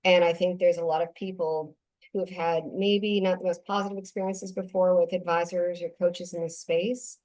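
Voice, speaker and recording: A woman hosting a podcast, chatting in a relaxed, affirming way with her guest. Her voice sits fairly low for a woman. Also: normal speed, rough recording. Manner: conversational, affirming. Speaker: podcast host. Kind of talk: casual podcast interview.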